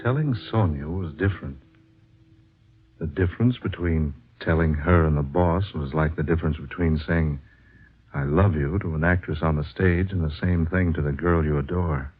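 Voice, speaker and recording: Dreamy earnest husband and writer, American accent, very low husky voice, old radio drama, measured delivery. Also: male voice. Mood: reflective. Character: earnest dreamy married male writer recounting his quest. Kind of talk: 1940s radio drama acting and narration. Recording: muffled vintage radio recording.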